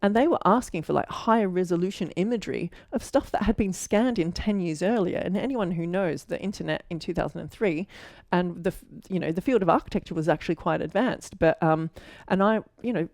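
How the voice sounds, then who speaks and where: An Australian woman in her forties tells her story to the camera in a loose, chatty way, full of fillers and restarts. Her voice sits a little low. The sound is close and dry, with no room echo. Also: brisk, few pauses, a little mellow. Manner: chatty, with fillers and self-corrections. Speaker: architect and mentor.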